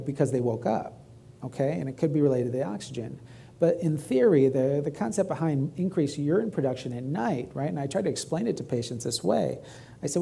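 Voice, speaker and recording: A gravelly, husky-voiced man with a drawl, middle-aged, giving a urology talk from a lecture-hall stage. He keeps it conversational and often checks in with "right?". His tone sits dark and mellow. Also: fairly high pitch. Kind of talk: medical grand rounds lecture.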